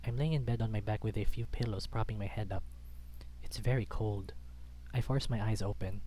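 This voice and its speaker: A man's voice: lowish voice, a little fast, dark tone, rough recording. A man reading a visual novel aloud as dramatic first-person story narration.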